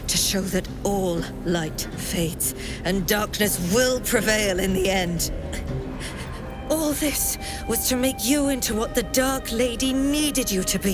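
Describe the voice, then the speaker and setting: Brief: actress as imperious, cruel female cult mentor for fantasy game, deliberate slow delivery, grandiose and taunting, loud music underneath. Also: medium pitch, natural voice. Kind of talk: theatrical fantasy game acting. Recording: music playing behind the voice.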